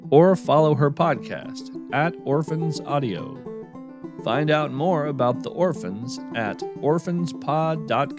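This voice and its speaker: Guy host reading scripted credits, really lively intonation, music playing behind him. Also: mid-range voice. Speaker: podcast writer and host.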